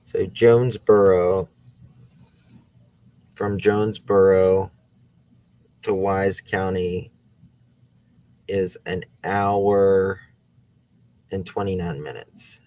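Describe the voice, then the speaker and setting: A man's voice: low-pitched, steady tone, extremely slow, pauses often, mellow, low-quality recording. A man hosting a true crime channel, thinking aloud in casual talk as he looks things up during a case discussion. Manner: thinking aloud while searching online.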